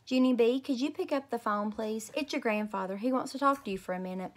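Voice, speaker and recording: A woman voicing a patient mother in a storybook, gently questioning and coaxing a young child. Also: on the high side, fast, voice pushed up, lightly acted.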